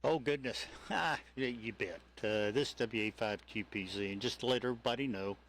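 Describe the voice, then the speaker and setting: A man, an amateur radio operator, chatting casually on air in a halting way. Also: medium pitch. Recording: faint background noise.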